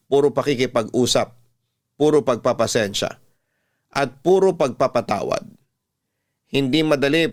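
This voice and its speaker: A man with a Filipino accent reading a scripted first-person story as a dramatic radio storyteller. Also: mid-range voice.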